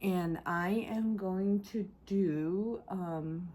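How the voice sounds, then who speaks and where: A woman hosting a casual craft unboxing vlog, her voice raspy and congested from a sore throat, speaking hesitantly with many ums. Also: fairly low pitch, extremely slow, mellow. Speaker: craft channel host.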